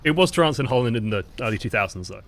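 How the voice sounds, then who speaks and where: Casual young male coach, mid-pitch voice, unhurried, chatty, a bit sweary. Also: lively intonation, fairly bright tone. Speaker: game coach reviewing footage. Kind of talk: casual gameplay commentary.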